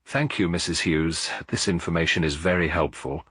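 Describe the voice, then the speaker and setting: A man voicing a husky, composed old lady detective. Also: low voice, normal speed, a bit bright, no room sound, studio quality. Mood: polite, probing. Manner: polite, probing, composed. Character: gentle but firm elderly lady detective.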